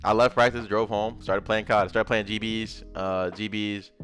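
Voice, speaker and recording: A man's voice: fairly low pitch, level tone, normal speed, fairly bright tone, faint music behind. A young man from Memphis with a Southern accent, casually telling his life story while drawing, rambling and adding little sound effects. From Memphis, Tennessee. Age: young adult. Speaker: gaming content creator.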